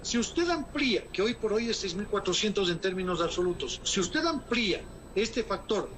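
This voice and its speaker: Emphatic economist with high voice. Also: male voice, sing-song, moderate pace, a bit bright, slight background noise, poor audio. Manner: emphatic, rhetorical questions, formal address. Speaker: economist guest. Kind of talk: formal interview answers.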